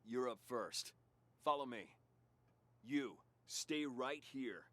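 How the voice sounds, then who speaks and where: Stern male cop, commanding and expressive, slight noise, audio drama game. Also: mid-range voice, slow pace. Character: stern, bossy by-the-book policeman.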